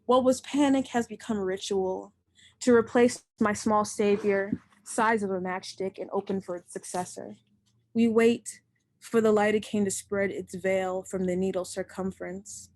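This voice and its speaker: A young woman reads her poetry at home over a computer mic, her voice sitting at an ordinary middle pitch. She recites slowly and deliberately and gives each line its weight. Between poems she drops into loose, chatty remarks. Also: fairly even intonation, darkish tone, low-quality recording. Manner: slow, measured, deliberate recitation. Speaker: poet and host of the reading. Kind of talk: poetry reading.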